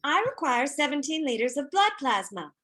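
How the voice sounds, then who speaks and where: A woman's voice: sits high, sing-song, normal speed, a bit bright. A woman playing a girlish, inquisitive alien medic creature in a comedy script read, speaking in formulaic survey-taker phrases. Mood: inquisitive, officious.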